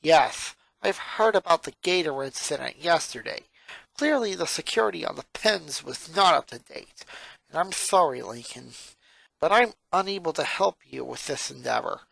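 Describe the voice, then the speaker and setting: A performer voicing a four-year-old girl genius from a cartoon fan story, monotone and sarcastic, with pedantic, wordy phrasing. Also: medium pitch, moderate pace, creaky, dry recording, exaggerated voice, poor audio. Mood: detached.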